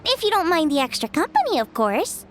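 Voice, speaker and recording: Very high-voiced, chatty game girl. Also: sing-song, a little fast. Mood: friendly. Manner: chatty, playful, friendly. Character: bright, chatty, sociable young woman. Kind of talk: video game voice acting. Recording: clear music behind. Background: game music.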